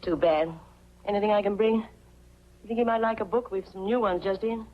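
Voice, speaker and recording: Casting: woman as practical, gently dry mother in middle age, medium pitch, vintage film acting, dull audio. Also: normal speed, close to an everyday voice. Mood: polite, dry. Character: composed, wry widowed mother, gently practical. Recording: dull 1940s film soundtrack.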